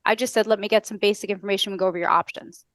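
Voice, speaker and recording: Fast-talking American woman, instructional. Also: mid-range voice, steady tone, fairly bright tone. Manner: brisk, structured, instructional. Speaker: podcast guest, customer service trainer. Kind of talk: explanatory interview talk with acted-out call examples. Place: indoor room on a video call. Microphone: headset.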